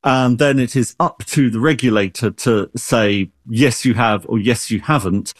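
A man's voice: mid-range voice, measured pace, dry recording, clean studio sound. A man who is an expert guest, explaining science in an interview with everyday analogies and frequent restarts.

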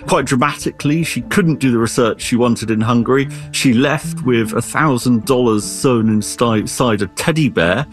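A man's voice: mid-range voice, normal speed, few pauses, over music. A man who is an expert guest, explaining science in an interview with everyday analogies and frequent restarts.

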